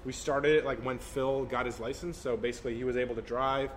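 A man's voice: mid-range voice, fairly even intonation, brisk, a little hiss or hum. Candid young man in his twenties. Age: 20s. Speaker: business co-founder guest. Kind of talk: casual podcast chat.

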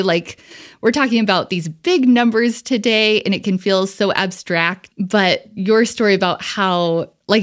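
Informal woman hosting a casual podcast. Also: mid-range voice. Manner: informal, frequent "like" as a filler. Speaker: podcast host. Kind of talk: casual conversational interviewing.

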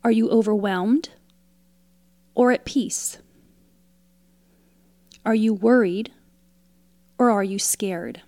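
Female meditation guide: calm, soothing scripted delivery, very unhurried, close and dry recording. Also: mid-range voice, no room sound. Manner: calm, soothing, instructional, with long pauses between short phrases.

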